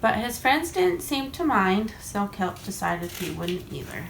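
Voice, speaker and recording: A woman's voice: mid-range voice, sing-song, measured pace, vocal fry. A woman reading a children's picture book aloud expressively, like a parent to a child. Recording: echoey room, faint background noise.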